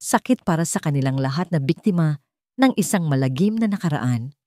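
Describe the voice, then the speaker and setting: Low-voiced woman narrating a drama. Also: expressive, warm tone, no echo. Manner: slow, solemn storytelling. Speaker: storyteller.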